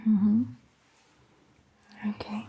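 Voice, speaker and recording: A woman playing a caring vampire doctor in an ASMR roleplay, whispering gently and reassuringly in a breathy, hushed voice. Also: medium pitch, flat delivery, muffled recording, full character voice. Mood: gentle, reassuring. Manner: gentle, slow, reassuring, softly questioning. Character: caring, attentive female vampire doctor tending a patient. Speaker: ASMR performer. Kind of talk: soft-spoken ASMR roleplay, mostly whispered.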